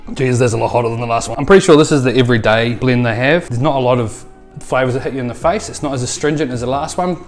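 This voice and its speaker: A man's voice: medium pitch, fast, soft background music. A man in his thirties with a New Zealand accent, chatting casually as he reviews coffee in his home kitchen. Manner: jokey, chatty, playful. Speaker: coffee show host.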